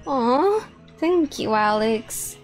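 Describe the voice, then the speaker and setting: A woman's voice: a little high, lively intonation, extremely slow. A young woman playing a sweet, anxious villain girlfriend in an improvised video game roleplay. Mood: tender, anxious. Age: young adult. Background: music.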